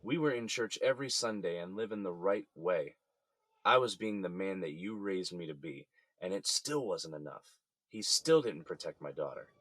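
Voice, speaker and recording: A man's voice: low voice, expressive, normal speed, fairly bright tone, no echo. An actor playing a jailed father calling his mother, tired, sighing and resentful. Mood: weary. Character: jailed father, weary and bitter. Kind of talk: audio drama acting.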